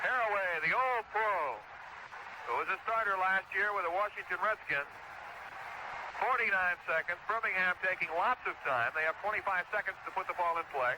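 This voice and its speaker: A man's voice: high voice, noisy background. An American man calling live football play-by-play on an old radio broadcast. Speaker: play-by-play sports commentator.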